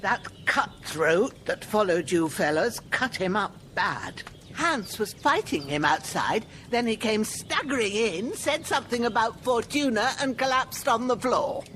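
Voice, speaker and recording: An actress playing an older village healer, gruff and motherly, talking in folksy rustic speech with a lively, slow delivery. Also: on the high side, slight background noise. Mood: matter-of-fact. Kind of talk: video game dialogue.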